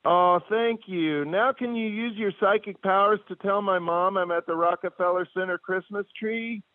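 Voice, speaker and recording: A man's voice: sits high, normal speed, no echo, lightly acted. A man voicing an earnest, plucky lost little boy on a prank phone call. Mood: sweet, grateful. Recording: tinny phone line, faint music behind.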